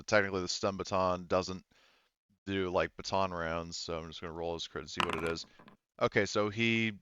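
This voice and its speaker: A man with a low, smooth voice acts as game master, narrating a tabletop game in a casual way. He swears freely, and the sound is dry and slightly dull, like an online call mic. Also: a bit bright, no echo.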